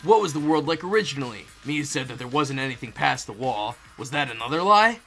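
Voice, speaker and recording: A man's voice: mid-range voice, animated, brisk, gravelly, fairly bright tone, soft background music. A man voicing a puzzled, thoughtful teenage student detective in a game fan dub. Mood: pondering.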